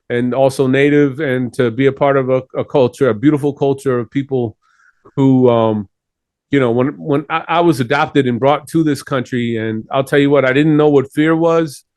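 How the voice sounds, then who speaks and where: A man's voice: mid-range voice, steady tone, moderate pace, darkish tone, dry recording. A man from Chile, talking earnestly and at length as a guest in a casual interview. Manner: earnest, rambling.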